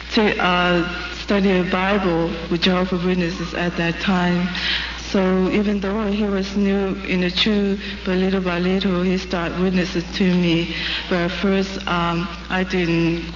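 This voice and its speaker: A woman's voice: on the low side, flat delivery, measured pace. A woman from North China, raised in Hong Kong, telling her story hesitantly in a stage interview. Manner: hesitant, plain storytelling with fillers. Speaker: interviewee giving her experience. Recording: dull, hissy recording in an echoey hall.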